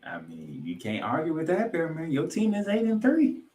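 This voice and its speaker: A man's voice: on the high side, huge pitch swings, moderate pace, a little mellow, a little hiss or hum. A man hosting a sports podcast, giving recap commentary in an emphatic way and repeating points for effect. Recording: roomy, echoing room sound.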